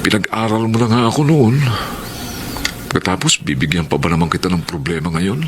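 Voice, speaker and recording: An affectionate, troubled younger brother played by a male actor in a radio drama. The voice is fairly low, emotive and respectful, with clear noise in the background. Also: fairly quick, close to an everyday voice. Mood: ashamed, worried. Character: affectionate, debt-burdened younger brother of the mayor. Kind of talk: Filipino radio drama acting.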